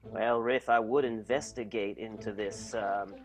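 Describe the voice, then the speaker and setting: A man's voice: fairly low pitch, steady tone. A man playing a dutiful, hesitant bodyguard in an improvised radio soap. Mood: earnest, hesitant. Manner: halting.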